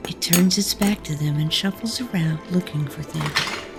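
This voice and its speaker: A woman with a very low-pitched voice reads scripted fantasy narration. She tells the story as its narrator, with faint music underneath. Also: normal speed, vocal fry.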